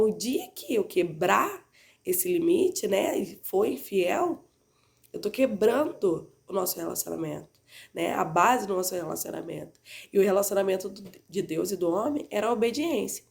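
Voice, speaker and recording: A woman teaching a Bible class, stuffy and slightly hoarse from a cold, with a nasal tone, talking conversationally and often adding "né?" at the end of her sentences. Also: mid-range voice, a little mellow. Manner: conversational, frequently adds "né?" tag questions.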